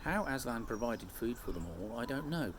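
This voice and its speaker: A man reads a children's chapter aloud with a hugely varied, animated pitch. His voice is mid-pitched and he tells the story expressively, like a teacher to pupils. The homemade recording has clear background noise. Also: normal speed. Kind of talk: expressive children's audiobook-style read-aloud.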